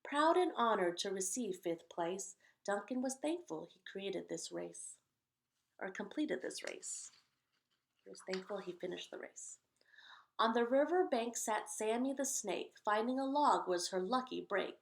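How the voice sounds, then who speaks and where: A woman with a medium-pitched voice reads a picture book aloud for children. She gives the storybook lines expressive emphasis, with a casual, conversational touch as host. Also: unhurried, gravelly.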